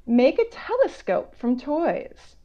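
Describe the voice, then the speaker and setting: A woman author reading a picture book aloud to children, going between slow measured reading and conversational explanatory asides. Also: sits high, sing-song, warm tone. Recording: dull, lo-fi online call audio.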